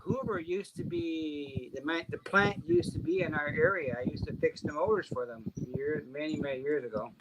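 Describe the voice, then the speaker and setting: A man's voice: a little high, huge pitch swings, normal speed, gravelly, hardly any pauses. Older man, chatty guest, matter-of-fact, noisy home stream audio. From Canada. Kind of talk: casual chat.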